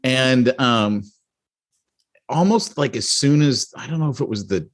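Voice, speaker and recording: Middle-aged guy cohosting a podcast, rambly and animated, lots of restarts, medium voice. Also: mid-range voice, a little slow. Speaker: podcast co-host. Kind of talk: casual storytelling chat.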